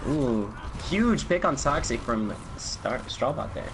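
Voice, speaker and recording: A man's voice: mid-range voice, big pitch swings, slow. Young guy casting an esports match, chatty, says like a lot, noisy mic. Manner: loose, filler-heavy, lots of "like". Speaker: esports caster. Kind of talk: casual game commentary.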